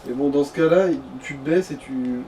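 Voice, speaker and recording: Male gaming sidekick, soft dark tone, informal chat, off-mic over background noise. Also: medium pitch, normal speed. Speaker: companion commenting on the game. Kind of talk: casual chat. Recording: distant mic, noisy background.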